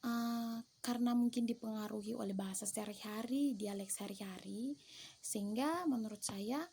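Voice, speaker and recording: Young woman theology student, mellow tone, measured and reflective, light background noise. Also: fairly high pitch, vocal fry. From eastern Indonesia. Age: young adult. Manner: careful, measured, with hesitations and restarts. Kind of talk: reflective class presentation.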